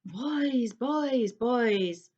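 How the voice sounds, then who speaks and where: Brief: excitable middle-aged wizard dad, frantic and eager, pitched up high, read by a woman. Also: very slow, dull audio, heavily acted. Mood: vague.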